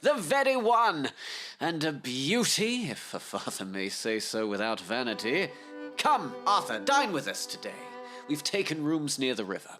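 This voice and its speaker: A cheerful, fatherly Victorian gentleman in middle age. His effusive talk rises and falls widely, with soft music behind this audio drama. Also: fairly high pitch, big pitch swings, measured pace, heavily acted. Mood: jovial.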